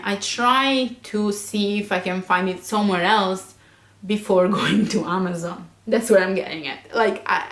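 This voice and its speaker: Chatty young woman, echoey room. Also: mid-range voice. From Italy. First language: Italian. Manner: chatty and a bit nervous, fillers like "like", quick laughs. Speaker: book vlogger. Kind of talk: casual chat to camera.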